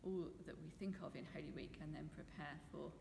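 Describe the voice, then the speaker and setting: A woman's voice: sits low, fairly even intonation, brisk, creaky, a little mellow. A British woman speaking as a vicar, giving notices to her congregation in a church. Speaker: vicar leading the service. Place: stone church interior. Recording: echoey church with long reverb, faint noise.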